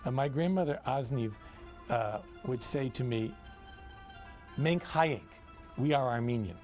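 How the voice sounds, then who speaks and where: A man's voice: mid-range voice, animated, unhurried, a little mellow, faint music behind. A man recalling family memories in a documentary interview, in a reflective, explanatory way.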